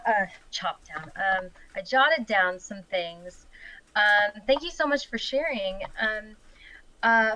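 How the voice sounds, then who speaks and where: A woman giving informal workshop notes in a conversational, tentative, gently constructive way. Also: medium pitch, dry recording, rough recording. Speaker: participant giving script notes.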